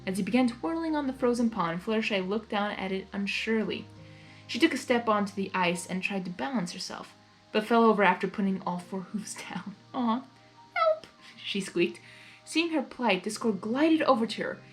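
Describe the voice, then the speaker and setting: A woman's voice: mid-range voice, expressive, normal speed, soft background music, poor audio. A woman narrating a story expressively, in the manner of an amateur reader who reacts as she goes. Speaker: fan fiction reader.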